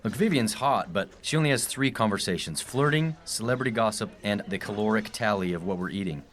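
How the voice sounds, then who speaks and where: A man's voice: on the low side, expressive, fairly quick, a bit bright. An actor playing a blunt, teasing man in a radio play, with a dry, matter-of-fact manner. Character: blunt, teasing man, casual about his breakup.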